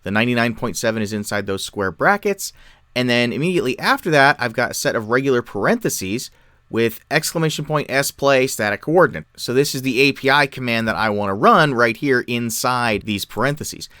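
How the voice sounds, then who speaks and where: A man explains software step by step in a tutorial style. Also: medium pitch, expressive, fairly quick, no room sound, clean studio sound. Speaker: tutorial presenter. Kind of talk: software tutorial, explanatory screen-share narration.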